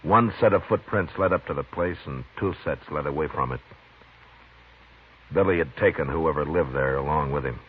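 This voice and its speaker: Deep-voiced American frontier marshal. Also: male voice, slightly rough. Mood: grim, measured. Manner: terse, measured. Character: steady, grim frontier marshal, a grown man. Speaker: lead character and narrator. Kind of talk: 1950s western radio drama acting. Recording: muffled, noisy 1950s radio recording.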